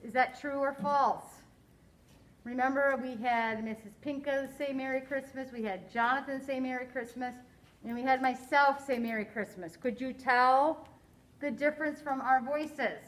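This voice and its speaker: A woman teaching a science lesson to a class, quizzing her students in a slow, deliberate way. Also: high voice, steady tone, a bit bright.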